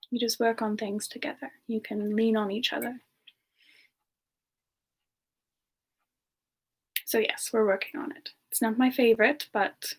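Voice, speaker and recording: A sweet, bashful female demon singer, played by a woman, chats softly in semi-ASMR livestream style. Her voice sits fairly high and moves very slowly. She is gentle and hesitant, often sinking toward a whisper. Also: breathy edge. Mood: gentle, caring.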